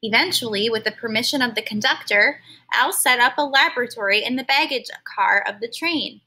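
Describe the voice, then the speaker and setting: A woman reading a children's story aloud like a teacher, expressive and stopping now and then to explain words in simple terms. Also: fairly high pitch, brisk, breathy edge, rough recording.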